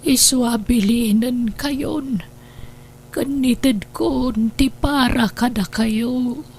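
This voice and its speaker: A woman voicing a frail, dying elderly mother in an Ilocano audio drama, speaking weakly and slowly. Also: a little high, darkish tone, a little hiss or hum. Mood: frail, tender. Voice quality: gravelly, slow and weak. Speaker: voice actor.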